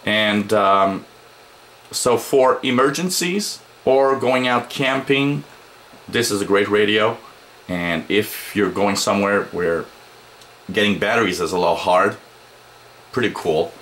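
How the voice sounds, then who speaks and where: A man giving a casual hands-on gadget review, explaining things plainly and haltingly as the reviewer. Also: fairly low pitch. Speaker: product reviewer. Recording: noisy home recording, steady background noise.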